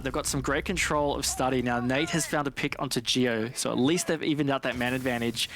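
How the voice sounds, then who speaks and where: A male esports analyst talks through a live match in a conversational way. His voice is medium in pitch, with faint noise and clicks behind it.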